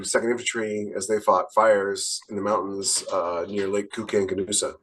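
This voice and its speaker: A man's voice: fairly low pitch, low-quality recording. A middle-aged American man telling casual, rambling stories on a podcast, often searching for details. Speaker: podcast panelist and military veteran. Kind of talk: casual anecdotal storytelling.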